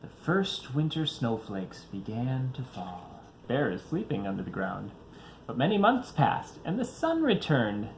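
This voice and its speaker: A man's voice: medium pitch, wildly swooping pitch, a little slow, gravelly, a little hiss or hum, rough recording. A father reading a children's picture book aloud, expressively, varying his pace for young listeners.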